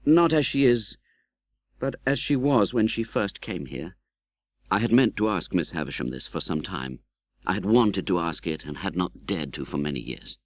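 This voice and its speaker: A British man playing an earnest, tender-hearted gentleman who recounts his own life in a dramatic, measured audiobook narration. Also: low voice, no echo. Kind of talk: dramatic literary audiobook narration in first person.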